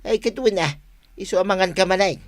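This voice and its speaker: A man's voice: sits high, brisk, close to an everyday voice. A man playing an easygoing farm worker in a comedy radio drama, plain, agreeable and conversational.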